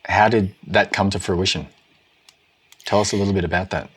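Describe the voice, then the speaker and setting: A man hosting an interview, calmly affirming and asking gentle questions. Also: sits low, normal speed, breathy edge. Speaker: podcast host. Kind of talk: conversational interview.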